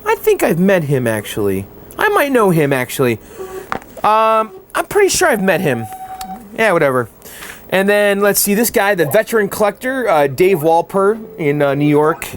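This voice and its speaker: A man's voice: sits high, wildly swooping pitch, normal speed. A man hosting a casual show, answering viewer mail in a chatty way and often checking in with "okay?". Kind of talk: casual chat.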